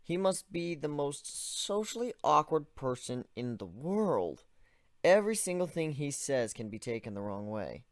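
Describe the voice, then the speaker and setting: A man's voice: a little high, very animated, a little slow, no room sound, studio quality. A man giving a first-person dramatic reading of a story, with a conversational, wry delivery. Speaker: story reader.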